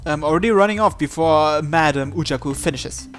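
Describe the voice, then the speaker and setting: Higher-pitched man, dramatic read-aloud narration. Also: fairly quick, a bit bright. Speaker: visual novel let's player. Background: game music.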